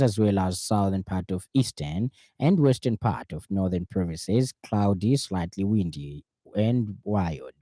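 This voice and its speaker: A man with a Zambian accent reading a scripted radio news bulletin in a formal, measured way. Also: low voice, mellow, no echo. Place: dry radio studio.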